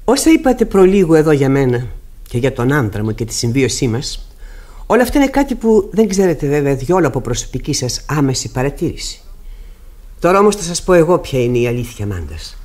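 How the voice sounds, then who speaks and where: A woman's voice: low-pitched, very animated, a little mellow. An actress playing a proud middle-aged widow and mother in Greek radio theatre, speaking formally, intensely and dramatically. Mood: resolute.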